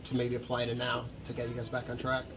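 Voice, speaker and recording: A man asks a postgame question from a few feet back from the microphone, so his voice sounds thin and distant. He talks quickly and restarts his sentences while he works out the question. Loud room noise sits underneath. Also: mid-range voice, completely flat delivery. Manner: rambling, rephrasing questions. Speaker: sports reporter.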